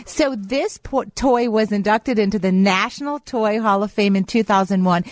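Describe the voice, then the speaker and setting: A woman's voice: mid-range voice, normal speed. An American woman co-hosting a radio show in a studio, chatting casually and sharing trivia.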